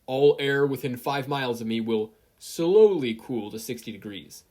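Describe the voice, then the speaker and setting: A podfic reader voicing an eager, excitable teenage boy who is training to be a hero. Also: mid-range voice, moderate pace, lightly acted. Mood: commanding, urgent.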